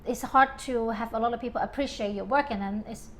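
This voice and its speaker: Chatty woman from Hong Kong, high voice. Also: fairly quick, a little hiss or hum. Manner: hesitant, many fillers, laughs often. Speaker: interior designer guest. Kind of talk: casual interview chat.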